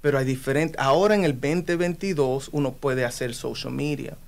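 A man's voice: medium pitch, normal speed, vocal fry. Guy preaching in a chat, earnest and emphatic. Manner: passionate, emphatic.

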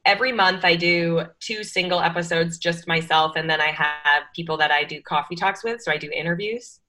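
A woman's voice: fairly low pitch, fairly quick, pushed and bright. A woman guest on a podcast, an energy coach who runs her own podcast, chatting casually and openly over a video call. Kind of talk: casual interview chat.

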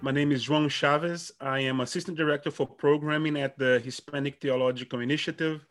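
A man hosting a podcast interview who asks questions warmly and repeats words as he restarts his sentences. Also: a little high, fairly even intonation, a little fast, soft background music. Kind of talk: conversational interview.

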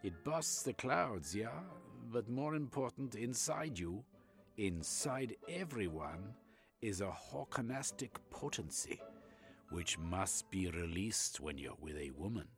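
A man putting on a loud flamboyant German doctor. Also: on the low side, slow, faint music behind, pitched above the performer's own voice. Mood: lecturing. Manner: excitable, lecturing, insistent.

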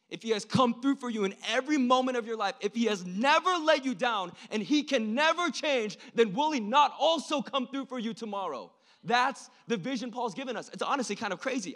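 Young male preacher with a higher-pitched voice. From born in South Korea. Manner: informal, youthful slang, frequent "like" and rhetorical questions; swings from hushed to loud, impassioned delivery. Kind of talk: sermon to a young congregation.

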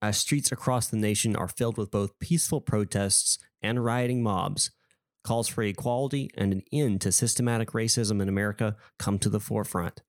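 A man with a cold hosts a podcast. His voice sits on the low side and he speaks in a calm, deliberate way. The recording is dry and close, with almost no room sound. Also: dry recording. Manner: measured, deliberate delivery. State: has a cold, sniffly. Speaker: podcast host. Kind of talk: scripted podcast intro then hosting a discussion.

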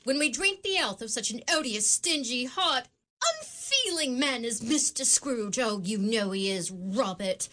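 A woman's voice: fairly high pitch, big pitch swings, a little slow, a bit bright. An actress playing a spirited mother of a poor family in a radio play. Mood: indignant. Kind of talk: radio drama acting.

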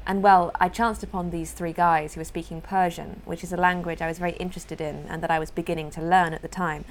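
A woman film director who grew up in Europe, calmly explaining how she made her film in an interview. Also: on the low side, fast talker. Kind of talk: interview answers.

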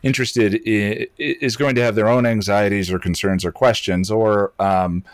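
A man's voice: on the low side, steady tone, moderate pace, dry recording, clean studio sound. A man interviewing in a casual chat, with a hesitant, rambling way of getting to his point.

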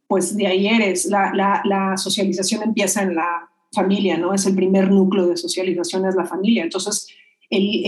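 A woman, explaining her ideas as a guest in a measured, thoughtful way. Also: mid-range voice. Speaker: guest expert. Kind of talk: explanatory conversation. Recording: roomy echo.

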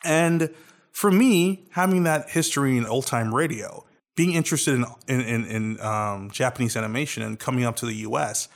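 A man's voice: medium pitch, moderate pace. A man with an American accent, a guest chatting casually on a podcast and rambling through restarts. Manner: rambling, with many restarts and fillers. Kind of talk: casual chat.

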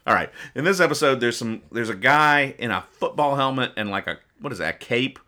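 A man's voice: medium pitch, animated, brisk, sharp tone. A man hosting a comedy podcast, casually reading out and explaining comics in a rambling, mock-earnest way. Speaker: podcast host.

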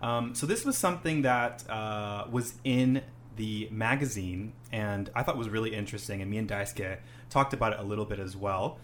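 A man's voice: fairly low pitch, normal speed, few pauses, a bit bright, slight background noise. A young man hosting a casual chat, rambling with many "um"s and "you know"s. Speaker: host, English teacher.

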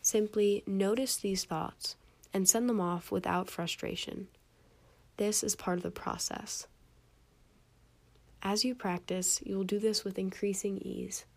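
A young adult woman reading a scripted guided meditation in a calm, gentle, measured way, with soft phrase endings. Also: mid-range voice, gravelly.

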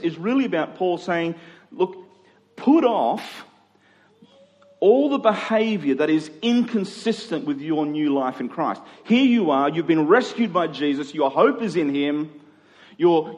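A middle-aged man preaching in a conversational expository style, using rhetorical questions and emphatic repetition. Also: sits high. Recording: long hall reverb.

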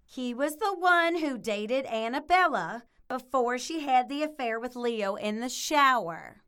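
A woman's voice: sits high, animated, measured pace, sharp tone, smooth, exaggerated voice. A woman playing a prim, gracious book club hostess in a comedic audio drama, polite and proper. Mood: patient, projecting.

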